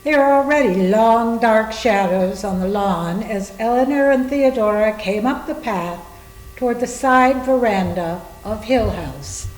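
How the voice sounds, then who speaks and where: A woman's voice: fairly high pitch, a little slow. A woman narrating a literary story as a scripted staged reading. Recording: echoing room.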